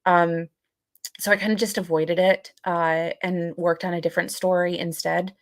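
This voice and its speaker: Young woman podcast co-host, slightly low voice in a dry recording; thoughtful, conversational, circling back over her phrases. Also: flat delivery, no echo. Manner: halting, repeats phrases while thinking. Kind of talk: reflective casual conversation.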